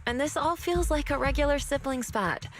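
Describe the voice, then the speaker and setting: A composed sword mentor in a video game. She is nonchalant, with a moderately high voice. Light music sits behind her. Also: moderate pace. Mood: breezy.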